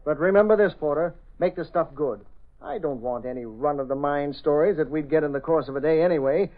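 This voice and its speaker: A man's voice: on the high side, a little fast, close to an everyday voice. Man acting a brusque, demanding old-radio boss. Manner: blunt, dismissive. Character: brusque, demanding male boss paying an informant. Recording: muffled vintage radio recording.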